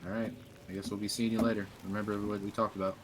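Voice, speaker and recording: An assertive man rattles off casual roleplay chat in a lowish voice. He is blunt and informal, talks quickly, and there is clear game noise around his voice. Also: flat delivery. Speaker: player in an online zombie survival roleplay.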